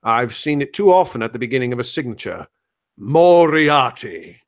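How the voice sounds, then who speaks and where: A man playing an excitable, self-assured gentleman detective in comic old-time radio style, theatrical and emphatic. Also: mid-range voice, moderate pace, lightly acted. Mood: urgent, exasperated.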